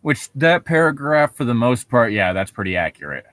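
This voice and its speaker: A man co-hosting, reading internet horror stories aloud with casual commentary in a halting, self-correcting manner. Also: mid-range voice, big pitch swings, a bit bright, no echo, clean studio sound.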